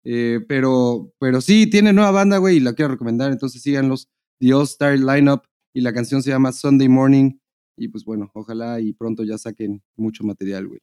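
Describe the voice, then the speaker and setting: A man's voice: medium pitch, brisk, mellow, smooth. A Mexican man co-hosting a podcast in a casual, slangy chat sprinkled with swearing. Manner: informal, slangy, profane. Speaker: podcast co-host.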